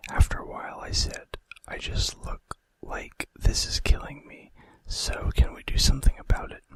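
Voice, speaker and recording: A man's voice: very high voice, low-quality recording. Male ASMR narrator, whispered throughout, chatty and informal, reading out trivia. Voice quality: breathy unvoiced whisper. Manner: casual, conversational asides. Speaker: ASMR video host.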